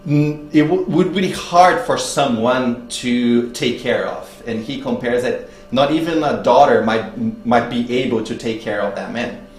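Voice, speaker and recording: Halting lower-voiced man in an echoey room. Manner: halting, searching for words. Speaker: speaker at a spiritist public meeting. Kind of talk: religious talk with storytelling. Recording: roomy echo, faint hum and noise.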